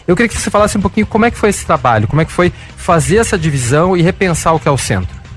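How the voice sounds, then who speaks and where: A man's voice: a little high, lively intonation, racing, faint music behind. A man hosting a show, presenting segments and asking interview questions. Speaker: radio show host, interviewer.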